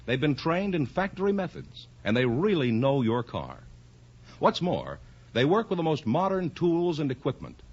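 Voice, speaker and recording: Animated male vintage radio ad announcer. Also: mid-range voice, husky. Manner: salesmanlike, emphatic. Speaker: commercial announcer. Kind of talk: 1950s radio commercial, scripted pitch. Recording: dull vintage radio recording.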